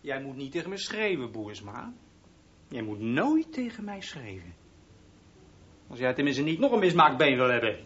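A man's voice: medium pitch, sing-song, moderate pace, pauses often, a bit bright. A male actor playing a taunting, menacing young bully who leads a gang, mocking and threatening, in a Dutch radio play. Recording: muffled radio recording with clear noise.

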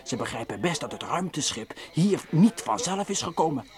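A worried, cautious companion on a jungle expedition in a radio play. His voice sits a little high and swings widely in pitch. He grumbles and scolds protectively in colloquial phrases. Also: sing-song, moderate pace, a crowd in the background. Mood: wary. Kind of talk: old radio play acting.

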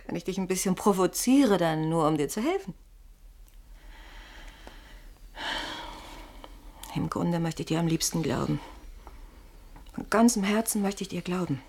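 A woman's voice: fairly low pitch, lively intonation, fairly quick, a little mellow, a little hiss or hum. An actress in a German radio play voicing a cool, probing woman who provokes and reproaches, controlled and pointed in manner.

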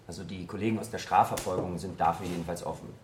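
A man's voice: low-pitched, fairly even intonation, fast talker, slight background noise, natural voice. An actor playing an earnest male politician who argues articulately and insistently for drug policy reform in a radio drama committee debate. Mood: measured.

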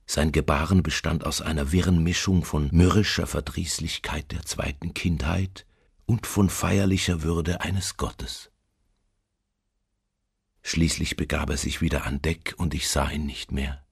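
An actor playing a haunted, doomed seafarer in a literary radio drama, speaking in a slow, brooding, solemn manner with a husky, gravelly voice. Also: male voice, steady tone, no echo, clean studio sound, heavily acted. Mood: grave dread. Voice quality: very deep, gravelly, husky, at times near whisper. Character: haunted male seafarer recounting his doom aboard a ghost ship.

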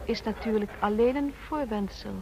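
A woman's voice: fairly high pitch, moderate pace, natural voice. Thoughtful, cautious woman played by an actress, slight noise. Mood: thoughtful, opinionated. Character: thoughtful, intuitive, cautious female office colleague. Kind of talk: Dutch radio play acting. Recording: noisy old radio play recording.

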